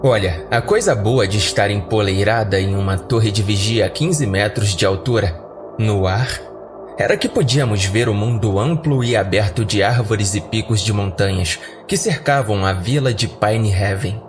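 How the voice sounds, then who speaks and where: A man's voice: on the low side, moderate pace, over music. A man with a Brazilian accent narrating an audio story in a colloquial, conversational storytelling way. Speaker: horror story podcast narrator. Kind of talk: audiodrama narration in first person.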